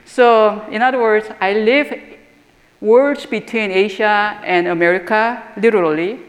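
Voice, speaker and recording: A middle-aged woman from Korea with a Korean accent, a theological school dean giving an earnest, reflective talk and often pausing with "uh". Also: mid-range voice. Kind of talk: personal talk or lecture. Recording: echoey hall with long reverb.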